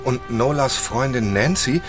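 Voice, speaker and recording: An actor playing an inquisitive writer who investigates and narrates in a German radio crime drama, probing and curious. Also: male voice, medium pitch, expressive, unhurried, over music, lightly acted. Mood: pondering.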